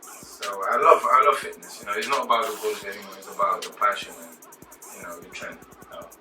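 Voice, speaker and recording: Low-ish guy from senegal, fitness coach, lots of ums, fades off, far from mic in echoey room, music behind. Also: brisk. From Senegal, West Africa. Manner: hesitant, many fillers, often trails off quietly. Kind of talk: casual interview answers. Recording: roomy and distant with music behind.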